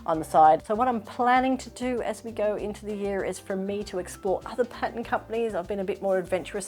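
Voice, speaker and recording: A woman's voice: medium pitch, a little mellow. A woman in her thirties with a mainly Australian accent touched by British and American sounds, chatting upbeat in a sewing Q&A vlog. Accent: Australian with British and American traces. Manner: chatty, upbeat, self-reflective. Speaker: sewing vlogger. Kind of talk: casual Q&A vlog.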